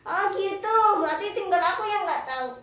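A woman voicing an eager, naive, childlike little monkey puppet for children, loud and exclaiming, in an echoey room. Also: extremely high pitch, animated, brisk, pitched above the performer's own voice. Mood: curious. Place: indoor room, plain wall. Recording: echoey room, distant mic, faint noise.

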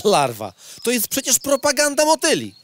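A man's voice: very high voice, huge pitch swings, moderate pace, a bit bright, exaggerated voice. A man playing a gossipy, casually prejudiced male insect who talks slangily and preachily in a comic audio drama. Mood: earnest preaching.

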